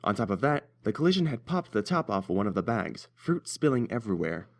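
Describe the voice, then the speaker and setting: A man's voice: lowish voice, big pitch swings, brisk, creaky. A man narrating a scripted story as its reader.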